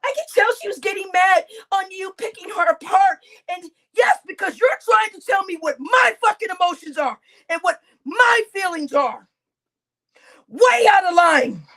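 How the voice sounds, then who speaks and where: A woman livestreamer, crying as she rants at her chat, shouting with heavy swearing and repeating her outbursts. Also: very high voice, expressive, moderate pace. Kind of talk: angry livestream rant to chat.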